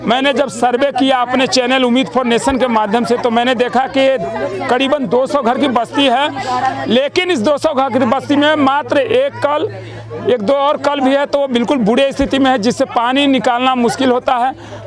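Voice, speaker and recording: High-pitched Bihari man reporting outdoors. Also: steady tone, normal speed, crowd noise. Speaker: field reporter interviewing villagers. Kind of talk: on-the-spot news report and interview. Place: outdoors in a village settlement. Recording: outdoor street, people talking around.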